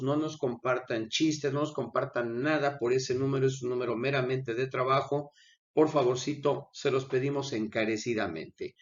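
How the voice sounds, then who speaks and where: A man's voice: mid-range voice, lo-fi sound. A man, feeling unwell with coughing fits, hosting a channel and talking viewers through a video in an earnest, pleading way. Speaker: channel host. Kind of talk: conversational commentary over a video.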